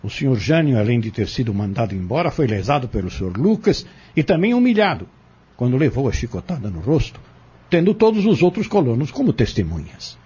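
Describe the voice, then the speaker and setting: An actor playing a cunning, vengeful defendant giving deliberate, defiant testimony in an audio drama. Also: male voice, medium pitch, big pitch swings, dark tone, breathy edge. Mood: cold, calculating. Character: cunning vengeful male defendant confessing in court. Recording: dull lo-fi audio.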